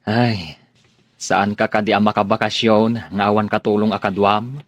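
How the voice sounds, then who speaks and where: A man acting in a radio drama as a relaxed, agreeable relative chatting with family. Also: fairly low pitch, a little slow, dry recording, close to an everyday voice. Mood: casual.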